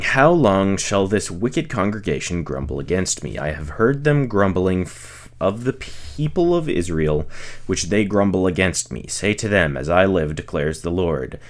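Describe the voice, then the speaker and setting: A man reading scripture aloud as expressive narration, delivering the speeches in a solemn, declamatory way. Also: low voice. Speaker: reader of a Bible audiobook series.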